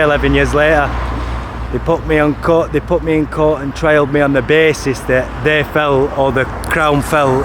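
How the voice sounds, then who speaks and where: A man, talking to camera outdoors by a street, repeating and correcting himself insistently. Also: medium pitch. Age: 30s. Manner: repetitive, restarting, insistent. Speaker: vlogger. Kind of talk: first-person video to camera. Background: traffic noise.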